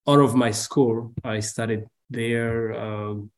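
A young man from Rwanda with a Rwandan accent, speaking as a podcast guest over a video call and pausing often with fillers. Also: mid-range voice, fairly even intonation. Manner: hesitant, halting with many fillers.

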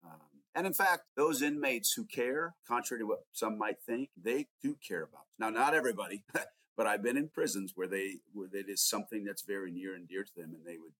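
A middle-aged man hosting an interview podcast, talking in a rambling, earnest way, his voice dropping quietly at the ends of sentences. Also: fairly low pitch, fairly quick, no room sound, low-quality recording. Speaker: podcast host. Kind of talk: conversational interview.

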